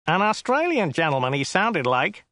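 A large, sweaty limo driver in a storybook, with a whiny, nasal voice pitched very high. He rambles on obtusely and insistently, in a lively swooping tone, recorded dry and close. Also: normal speed, no room sound. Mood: obtuse, curious. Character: large sweaty dim chatty American limo driver, male.

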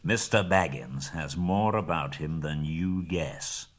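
A man's voice: rumbling low, slow, pitched below the performer's own voice, full character voice. A man voicing a stern, commanding old male wizard who scolds and gives orders, as a storybook reading. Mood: pleased. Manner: forceful, scolding.

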